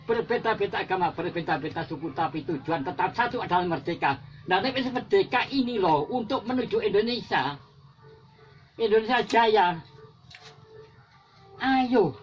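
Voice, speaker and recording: An older Javanese man, a war veteran, giving an impassioned patriotic speech with repetitive exhortations that rise to emphatic peaks. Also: high-pitched. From Java. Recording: dull lo-fi recording with faint music.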